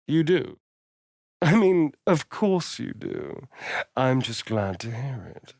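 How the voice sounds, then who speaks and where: A man's voice: medium pitch, very animated, slow, vocal fry, darkish tone, no echo, studio quality, heavily acted. A man voicing a sly, haughty vampire spawn in a video game, with an arch, theatrical, drawling and sardonic delivery. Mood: airy, coy. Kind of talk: video game character voice acting.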